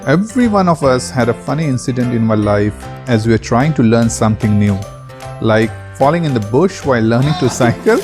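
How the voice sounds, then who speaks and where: Man, children's read-aloud host, very expressive intonation, dramatic storytelling, faint background music. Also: mid-range voice. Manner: animated, theatrical storytelling with rhetorical questions.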